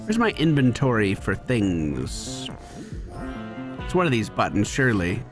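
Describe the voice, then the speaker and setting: A man's voice: fairly low pitch, sing-song, measured pace, music in the background. A man giving casual running commentary as he plays a video game, talking to himself with exasperated exclamations and swearing. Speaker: gaming video creator.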